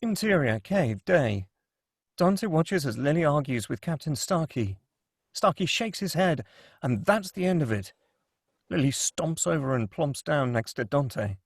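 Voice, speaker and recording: Gravelly male narrator, higher-pitched voice. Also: lively intonation, unhurried, no echo, clean studio sound. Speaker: narrator reading stage directions. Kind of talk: dramatic scripted narration at a script table read.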